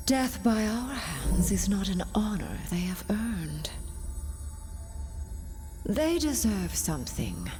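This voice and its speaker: Game villainess: disdainful, menacing alien warrior, deliberate pace with lively intonation. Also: female voice, mid-range voice, soft background music. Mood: cold contempt. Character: cold, cruel female alien warrior villain.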